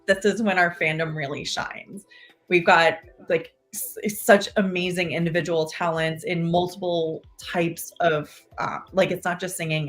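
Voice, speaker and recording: Lower-voiced woman, casual and gushing. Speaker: talk show co-host. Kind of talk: casual chat.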